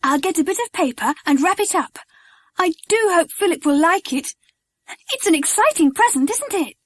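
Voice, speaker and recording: Actress as storybook little girl, sweet and earnest. High, very expressive, gushing delivery. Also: normal speed, no room sound. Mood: grateful, excited.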